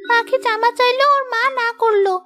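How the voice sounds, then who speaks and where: A woman's voice: very high voice, steady tone, brisk, music in the background. A woman voicing a little girl, a young daughter in a cartoon story. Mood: pleading. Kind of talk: Bengali cartoon moral-story voice acting.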